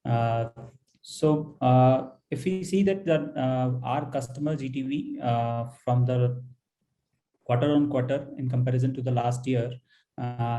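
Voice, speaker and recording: A man with an Indian accent presenting financial results as a company executive on an earnings call, halting and hesitant, with frequent pauses and repeated words. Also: mid-range voice, warm tone, low-quality recording.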